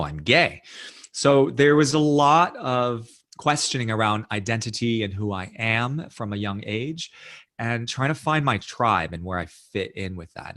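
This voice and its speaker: A man from the United States, a guest author, recounting his life in a thoughtful, measured interview, often adding "you know" and "right?". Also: fairly low pitch, a bit bright. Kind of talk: reflective storytelling in interview.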